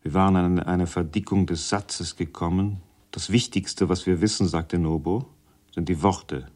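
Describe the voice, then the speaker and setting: Deep-voiced man reading deadpan prose. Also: measured pace. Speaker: literary reader.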